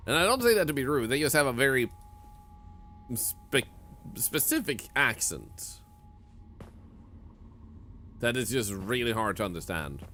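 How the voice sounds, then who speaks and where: A man's voice: mid-range voice, very animated, pushed and bright, slight background noise. A man from Sweden with a Swedish accent, speaking English as a second language while joking with chat during casual gameplay commentary. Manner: joking, teasing chat, quick to laugh. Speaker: streamer.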